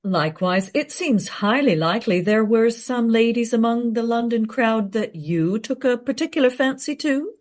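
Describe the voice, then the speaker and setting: A woman playing a brisk female documentary interviewer in a radio play, assertive and smoothly flattering. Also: fairly high pitch, close to an everyday voice. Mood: coaxing, flattering.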